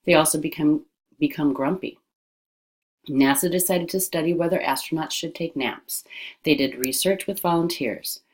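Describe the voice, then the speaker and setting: A woman reading an educational text aloud as scripted narration, with careful, deliberate enunciation. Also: deep voice.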